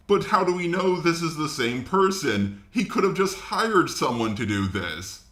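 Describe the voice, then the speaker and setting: A man's voice: mid-range voice, pushed and bright. A man giving casual opinion commentary in a blunt, informal way. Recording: echoey room.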